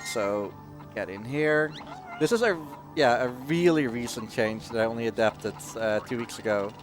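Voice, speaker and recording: A man's voice: medium pitch, normal speed. A man giving casual, jokey live commentary as he plays through a video game. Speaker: speedrunner. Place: live gaming marathon event. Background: game music.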